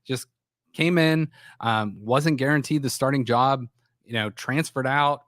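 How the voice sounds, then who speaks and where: A man's voice: medium pitch, unhurried, a bit bright, dry recording, clean studio sound. A man hosting a sports talk show, with a scratchy voice from a sore throat, rambling with frequent restarts. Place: small studio.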